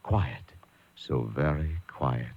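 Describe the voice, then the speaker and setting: An actor playing a haunted lighthouse keeper who recounts a rat siege in a suspenseful radio horror drama. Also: male voice, a bass voice, takes it slowly, a little hiss or hum, heavily acted. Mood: haunted dread. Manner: suspenseful, swinging from hushed to frantic. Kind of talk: dramatic first-person radio horror narration. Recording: dull lo-fi radio broadcast, loud music behind. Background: dramatic music.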